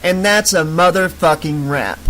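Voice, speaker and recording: A woman's voice: on the low side, a little slow, a bit bright, slight background noise. A man voicing a bossy, brash young woman directing a homemade film.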